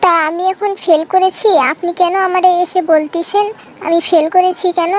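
A woman's voice: very high voice, fairly even intonation, moderate pace, a little mellow, a little hiss or hum. A woman voicing a cheeky, defiant young village girl in a cartoon, talking back with a Bangladeshi accent. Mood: defiant, sassy. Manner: sassy, argumentative back-talk. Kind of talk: amateur cartoon dialogue.

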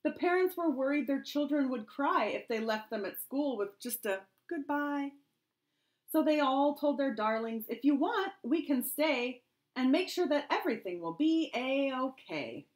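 A middle-aged woman, a kindergarten teacher, reading a rhyming picture book aloud to children in an expressive, sing-song storytelling way. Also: sits high, measured pace. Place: small home room indoors.

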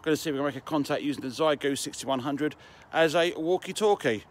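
A man's voice: fairly high pitch, fast. A man in his forties with an English accent, presenting casually to camera as an amateur radio vlogger outdoors. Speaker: amateur radio video host. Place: outdoors in a garden and open high spot. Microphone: camera or phone at about arm's length. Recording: outdoor recording with background ambient noise.

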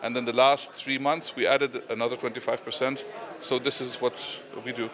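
A man's voice: medium pitch, little pitch movement, moderate pace. A middle-aged man explaining his investment fund in an interview at a busy event, speaking into a handheld mic. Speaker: fund manager. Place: busy indoor event, press backdrop. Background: crowd chatter.

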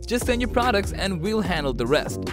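High-pitched man reading an upbeat advert. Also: sing-song, normal speed, over music. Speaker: advert announcer. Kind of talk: scripted advertisement.

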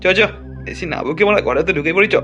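A man's voice: fairly high pitch, animated, quick pace, over loud music, natural voice. A man voicing a foul-mouthed, boastful gang leader in a comic cartoon, bossy and blustering to hide his fear. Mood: scolding, blustering.

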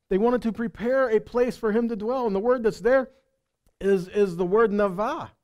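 Male Bible teacher, middle-aged. Soft-edged, warm tone, conversational yet emphatic sermon delivery on a headset mic. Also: sits high, unhurried. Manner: conversational, checking in with listeners. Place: indoor stage in a meeting room or hall. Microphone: headset microphone.

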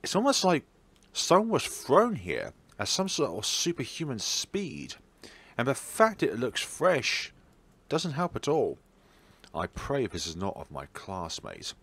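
A man's voice: medium pitch, huge pitch swings, a little slow, a bit bright, breathy edge, dry recording. A man hosting a let's play, reading a visual novel aloud theatrically and adding wry, playful asides. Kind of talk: playful gaming commentary and expressive read-aloud of a visual novel.

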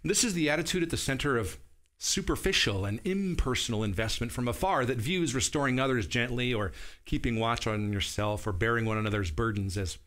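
A man's voice: medium pitch, a bit bright. A man in his forties preaching a sermon from a small studio set, explaining verses in an earnest teaching tone and checking in with his listeners. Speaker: pastor. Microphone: seated at a table, camera at medium distance.

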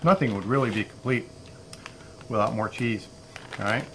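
A man in his fifties hosting a casual outdoor cooking demo, relaxed and chatty, often saying "all right", recorded on a camera mic on a patio. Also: on the low side, normal speed, background noise. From Florida. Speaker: outdoor cooking channel host. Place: outdoor covered patio at a table.

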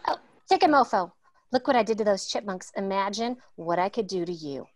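A woman's voice: medium pitch, expressive, brisk, natural voice. A woman reading a brash, aggressive, violent woman in a comedy table read.

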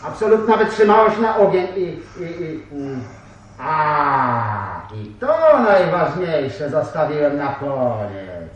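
An actor playing a theatrical prankster in a radio play. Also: male voice, a little high, lively intonation, slow. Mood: menacing, mocking. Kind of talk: Polish radio play acting. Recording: very echoey, distant, faintly noisy.

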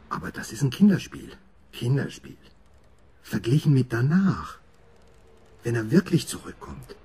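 A man's voice: mid-range voice, dark tone, breathy edge. An actor playing a brooding, obsessive middle-aged father and doctor, intense and introspective, in a radio horror drama. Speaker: narrator and lead character. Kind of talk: radio horror drama, dialogue and first-person narration.

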